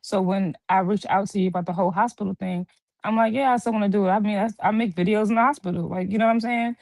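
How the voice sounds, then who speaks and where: A woman in her thirties talks in an earnest, reflective way, taking her time over her own story. Her pitch sits at an ordinary middle height for a woman. The sound is dry and close, with no room around it. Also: steady tone, fast, darkish tone, no room sound. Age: 30s. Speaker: guest. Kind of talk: casual chat. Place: hospital. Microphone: video call.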